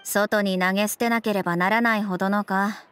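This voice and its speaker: An actress playing a stern, principled young police officer in a video game, with formal, clipped, businesslike speech. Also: female voice, medium pitch, fairly even intonation, fairly quick, fairly bright tone, clear, resonant tone, lightly acted. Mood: dry, reproving. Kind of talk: Japanese game voice acting.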